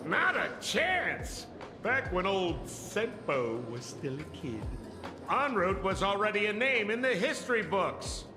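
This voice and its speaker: A man playing an excitable old tavern informant in a video game, teasing and theatrical as he spins his stories. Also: sits high, slow pace, exaggerated voice. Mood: sly, storytelling. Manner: theatrical, teasing storyteller with dramatic exclamations. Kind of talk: animated video game acting. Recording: echoey, reverberant, over music.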